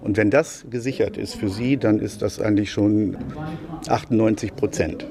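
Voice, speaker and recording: A man's voice: lowish voice, steady tone, normal speed, vocal fry, dark tone. A man who leads a social support group, speaking in an interview. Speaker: social welfare group leader. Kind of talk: interview statement.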